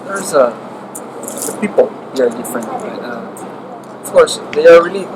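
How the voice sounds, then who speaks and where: A man's voice: fairly high pitch, lively intonation, moderate pace. A young man from Brazil, a Portuguese speaker, answering thoughtfully and searching for words. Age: young adult. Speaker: exchange student. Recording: clear background noise.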